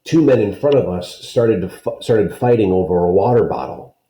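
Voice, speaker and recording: Low, mellow man, echoey room. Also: animated, normal speed. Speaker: read-aloud storyteller. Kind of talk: children's story and author's note read aloud, explanatory asides. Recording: roomy, echoing sound.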